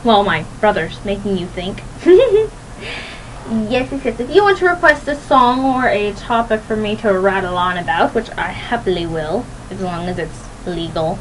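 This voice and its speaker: A young woman hosting a radio show, rambling casually to listeners in a chatty, playful, animated and giggly way. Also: mid-range voice, normal speed, slight background noise, poor audio. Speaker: radio host.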